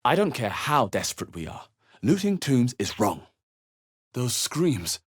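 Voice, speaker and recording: An actor playing an observant, wry adventurer in a video game, speaking in a husky, measured, understated way. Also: male voice, mid-range voice, very animated. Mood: curious, observant. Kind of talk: video game voice acting, short reactive lines.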